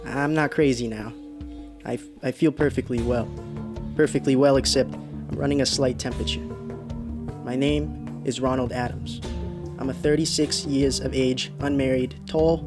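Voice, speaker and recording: A man acting a haunted man in his thirties who recounts his road trip in a radio drama, urgent and halting. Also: mid-range voice, natural voice. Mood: haunted, desperate.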